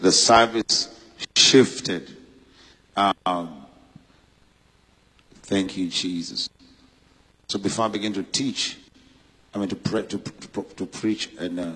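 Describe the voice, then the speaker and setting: Man preaching, from Uganda, bright forceful tone, husky edge, long hall reverb. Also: low-pitched. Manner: fervent, slips into loud shouted preaching and scripture reading. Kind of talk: sermon. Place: church hall.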